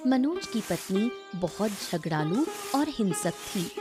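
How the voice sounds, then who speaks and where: A woman's voice: mid-range voice, very animated, slow pace, music in the background. A woman reading scripted narration for a story.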